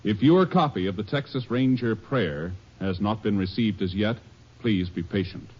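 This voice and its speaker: A man's voice: fairly low pitch, moderate pace, breathy edge. A man announcing an old radio show in a formal manner, reading notices and credits. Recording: lo-fi old radio broadcast with music.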